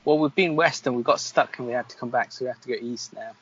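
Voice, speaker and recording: A man's voice: medium pitch, fast talker, fairly bright tone, breathy edge. Man bantering wryly, slightly muffled. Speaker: player and co-host. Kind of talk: casual banter during a game.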